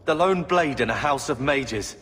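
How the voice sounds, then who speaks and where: A man's voice: mid-range voice, lively intonation, normal speed, a bit bright, close to an everyday voice. A man playing a bitter, sarcastic young man, an aggrieved younger brother in a video game. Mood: resentful. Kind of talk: dramatic video game acting. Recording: long reverb with background music.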